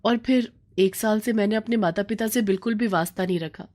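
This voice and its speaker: A woman's voice: mid-range voice, fairly quick, darkish tone, pitched above the performer's own voice, natural voice. A woman voicing a headstrong, complaining young working daughter in a storybook tale. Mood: worried. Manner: insistent, complaining.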